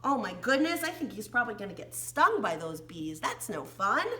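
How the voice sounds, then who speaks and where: A woman's voice: medium pitch, big pitch swings, normal speed, bright, forceful tone. An American woman leading an interactive read-along and sing-along for young children, with playful, exaggerated delivery, gasps and questions.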